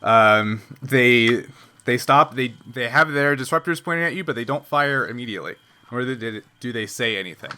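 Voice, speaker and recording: Bright-voiced man running a tabletop game. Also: mid-range voice, lively intonation. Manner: halting, thinking aloud. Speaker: game master. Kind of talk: improvised tabletop game narration.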